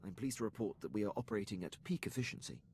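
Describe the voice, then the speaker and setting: An actor playing a formal, dutiful imperial starship captain in a video game, with stiff, deferential and precise military diction. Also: male voice, on the low side, rapid-fire, darkish tone, a little hiss or hum, close to an everyday voice. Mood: formal, proud. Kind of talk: scripted video game dialogue.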